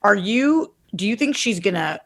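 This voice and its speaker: A woman's voice: medium pitch, expressive, normal speed. A woman co-hosting a podcast, chatting casually and putting questions to the others. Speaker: podcast co-host. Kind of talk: casual chat.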